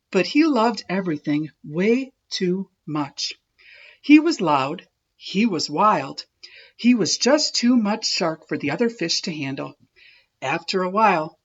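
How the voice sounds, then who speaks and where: Slow-paced, animated woman storyteller. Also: lowish voice, muffled recording. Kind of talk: expressive children's read-aloud narration.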